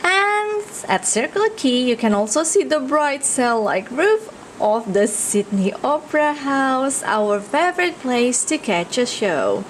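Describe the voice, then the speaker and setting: Animated Indonesian woman reading to children, high voice. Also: measured pace, hardly any pauses, slight background noise, low-quality recording. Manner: expressive, interactive, with exclamations and questions to young listeners. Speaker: children's storyteller. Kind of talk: animated read-aloud of a children's picture book.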